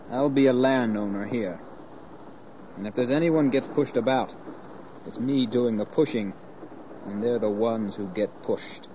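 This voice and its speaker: An actor playing a proud, brooding, resentful young gentleman in an audio history drama. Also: mid-range voice, a little hiss or hum, natural voice, rough recording. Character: bitter, defiant young gentleman, rebellious and proud.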